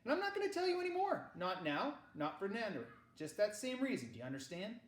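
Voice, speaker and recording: A man's voice: high-pitched, wildly swooping pitch, a little fast, very noisy, pitched above the performer's own voice. A man reading a children's novel aloud, voicing an earnest, protective uncle with an emphatic, tender and wryly grave delivery. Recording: roomy echo.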